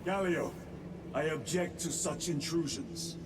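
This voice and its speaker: A terse, resolute lieutenant. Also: male voice, a little high, animated, heavy background noise. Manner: clipped, measured. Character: resolute male space marine lieutenant, terse. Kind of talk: video game cutscene acting.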